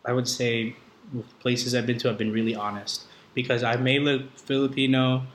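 A man's voice: mid-range voice, flat delivery, moderate pace, a bit bright. A man talking casually and jokingly as a podcast guest, in informal, slangy speech. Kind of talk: casual conversation. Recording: echoey room with faint background noise.

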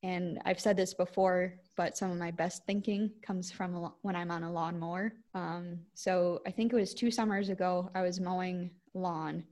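A woman's voice: steady tone, normal speed, hardly any pauses, a little mellow. A woman, co-hosting a podcast in a rambling, casual way with many fillers. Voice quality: squeaky voice. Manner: rambling, lots of "like" and "um". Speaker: podcast co-host, cranberry grower. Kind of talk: casual chat.

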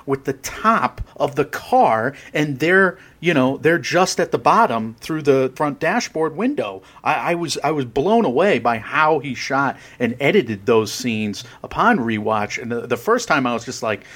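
A man guests on a casual film podcast with a mid-pitched, ordinary voice. He rambles through his thoughts, jokes at his own expense and laughs along. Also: very animated, unhurried, few pauses, fairly bright tone. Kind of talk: casual film review chat.

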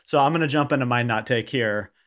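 A man hosting a casual sports podcast, talking in a halting, hedging way. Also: mid-range voice, fairly bright tone. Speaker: podcast host.

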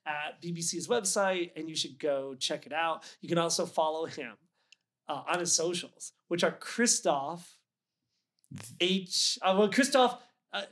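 A man with a high, bright voice hosts a podcast. He speaks casually, as if chatting with friends around a table. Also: unhurried. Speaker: podcast host. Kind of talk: casual chat.

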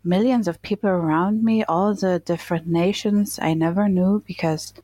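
A woman's voice: fairly low pitch, fairly even intonation, normal speed, warm tone, no room sound. A middle-aged woman from eastern Germany with a German accent, telling her own story casually as an interview guest.

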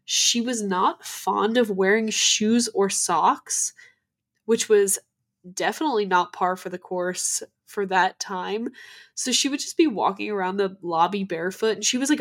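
A young woman, nasal from a cold, co-hosting a podcast and telling ghost stories in a chatty way full of "like" fillers. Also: mid-range voice. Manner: chatty, many "like" fillers and restarts. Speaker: podcast co-host. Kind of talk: casual chat about ghost stories.

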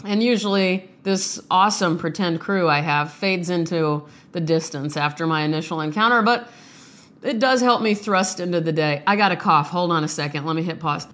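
A woman's voice: fairly low pitch, moderate pace, a bit bright. A woman hosting a podcast, chatting casually and jokingly about herself before reading a poem, her voice off from a sinus infection. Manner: chatty, self-deprecating, joking. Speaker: podcast host reading poems. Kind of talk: casual chat and poetry reading. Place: small home studio.

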